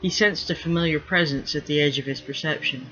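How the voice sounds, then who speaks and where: Male author-narrator for fiction, sharp tone, slightly high pitch, lo-fi sound. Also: fairly quick. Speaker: author reading own novel. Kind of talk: scripted narration, fiction reading. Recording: dull lo-fi recording.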